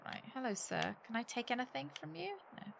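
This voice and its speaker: High, animated woman streaming. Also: a little fast, creaky, background noise. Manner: playful, cheeky, rambling, sweary. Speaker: game streamer. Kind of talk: casual live game commentary, thinking aloud to chat.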